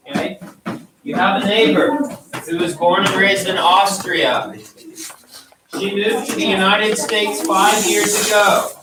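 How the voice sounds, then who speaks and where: A man teaching a class, explaining quiz instructions step by step and checking in with "okay?". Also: high voice. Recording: roomy, distant mic, noisy classroom.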